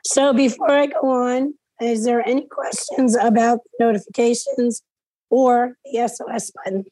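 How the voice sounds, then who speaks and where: Hoarse woman teaching over a video call. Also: on the high side, fairly even intonation, normal speed, mellow, no room sound, poor audio. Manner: clears throat often, explains each step aloud. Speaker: instructor. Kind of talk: step-by-step class demonstration.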